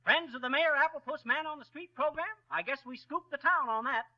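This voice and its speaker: A brash, boastful schemer. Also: male voice, very high voice, fairly quick. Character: blustering small-town schemer, brash middle-aged husband. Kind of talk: 1930s live radio comedy. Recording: dull 1930s radio broadcast, off mic.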